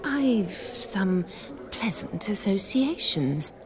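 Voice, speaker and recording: An actress playing a refined, composed older countess in a video game, with a measured, wistful manner. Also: female voice, mid-range voice, very animated, crowd noise. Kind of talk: video game character acting.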